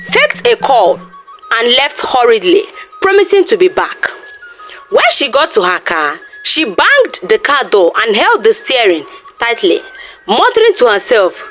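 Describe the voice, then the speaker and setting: A female storyteller narrates a scripted folktale. Her voice is fairly high and extremely expressive, rising and falling dramatically, with music playing behind it.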